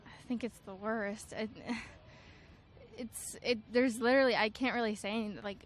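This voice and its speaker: A woman's voice: a little high, fairly even intonation, moderate pace. A teenage girl answering a street interview outdoors, earnest and full of fillers. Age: teens. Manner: hesitant, filler-heavy, earnest.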